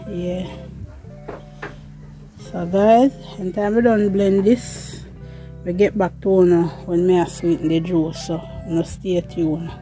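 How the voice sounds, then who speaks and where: Jamaican woman, patois cooking vlog, slow easy pace, music behind, phone mic outdoors. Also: lowish voice, steady tone, warm tone, poor audio. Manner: chatty patois, addresses viewers as guys. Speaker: cooking vlogger. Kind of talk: casual cooking vlog, talking to viewers. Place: outdoor yard kitchen. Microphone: phone held in one hand while filming.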